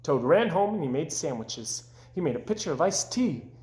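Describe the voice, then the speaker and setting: A man reading a children's story aloud as narrator, expressive, gentle and deliberate. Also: fairly high pitch. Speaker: storybook reader.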